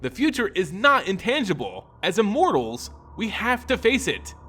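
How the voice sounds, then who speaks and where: Man as an earnest, high-voiced young vampire noble. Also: huge pitch swings, moderate pace, pushed and bright, breathy edge, heavily acted. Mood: earnest, urgent. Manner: urgent, pleading, formal. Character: earnest, idealistic young male vampire aristocrat. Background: background music.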